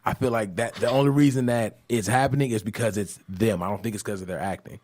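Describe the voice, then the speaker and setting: A man's voice: lowish voice, quick pace, a little mellow. A man trading opinions as a podcast panelist, casual, insistent and jokey as he argues his point.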